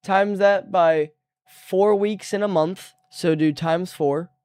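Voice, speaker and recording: A man's voice: high voice, slow, clean tone, no room sound. A young man on a live stream chatting casually and easygoing about his work day. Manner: relaxed, easygoing, trails off mid-sentence. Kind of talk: casual chat.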